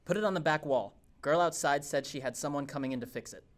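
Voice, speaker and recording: A man's voice: a little high, quick pace, close to an everyday voice. A man playing a laid-back young vaping sound guy in a scripted TV drama read.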